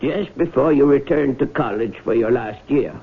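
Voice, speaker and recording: A man acting a fussy, formal country lawyer in a 1970s radio drama, husky and apologetic. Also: mid-range voice, moderate pace. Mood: measured. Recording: thin phone-like old radio broadcast.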